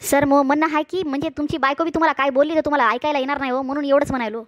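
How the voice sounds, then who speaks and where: A woman's voice: sits high, steady tone, rapid-fire, no echo, clean studio sound, lightly acted. A woman voicing a hapless, henpecked bald man in his forties in a comedy cartoon, plaintive yet cheeky, with a rural Marathi accent.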